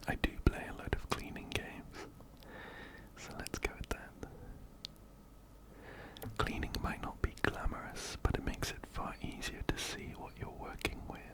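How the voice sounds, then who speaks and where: Slow, breathy whispering ASMR man. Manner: slow, hushed, repeats words softly. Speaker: ASMR host playing a video game. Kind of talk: ASMR gameplay narration, whispered. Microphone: close-up binaural microphone, ear to ear.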